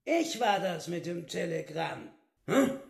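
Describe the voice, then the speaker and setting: Very raspy, creaky giant talking tomcat. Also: male voice, high-pitched, lively intonation, takes it slowly, heavily acted. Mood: brazen, officious. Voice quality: raspy, gravelly. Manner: curt, interrogating, barking. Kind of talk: German radio drama acting.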